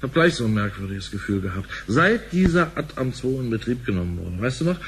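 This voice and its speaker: A man's voice: mid-range voice, sing-song, brisk. Suspicious, grumbling bank man, radio drama. Mid-range, conversational, slight background noise. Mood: uneasy, grumbling. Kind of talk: German radio play acting, conversational.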